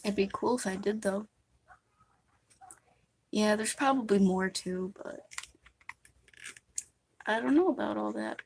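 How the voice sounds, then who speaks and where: A woman's voice: medium pitch, unhurried, gravelly, pauses often, a little hiss or hum. A young woman chatting casually and musingly while live streaming a makeup session, rambling between thoughts. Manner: rambling, soft-spoken and musing.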